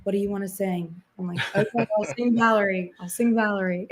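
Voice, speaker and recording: Chatty young woman, slightly raspy voice. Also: medium pitch, steady tone, normal speed. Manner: chatty, uses fillers like "like". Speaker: guest singer-songwriter. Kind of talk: casual interview chat.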